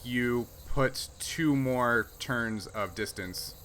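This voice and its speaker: A man's voice: mid-range voice, very slow, a bit bright, low-quality recording. A man running a tabletop role-playing game, casually narrating and explaining the rules. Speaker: game master.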